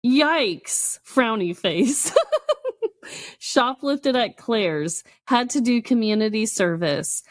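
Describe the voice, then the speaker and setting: A middle-aged woman in casual podcast banter, expressive and giggly, often exclaiming as she reacts. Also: fairly high pitch, slow, no echo, clean studio sound. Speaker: podcast host. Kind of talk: casual banter, reading listener confessions.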